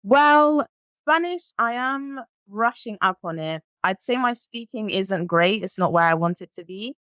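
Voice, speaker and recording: A woman's voice: medium pitch, animated, a little slow, fairly bright tone, smooth, no echo. A nineteen-year-old woman, a native French speaker from France, chatting as a podcast guest, laughing often and using lots of ums. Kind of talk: casual interview chat.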